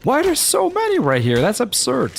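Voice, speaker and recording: A man's voice: very high voice, huge pitch swings, brisk, vocal fry, slight background noise. A man from the Netherlands with a Dutch-Flemish accent, chatting casually as he streams a game live, reacting to the action and reading viewer comments aloud.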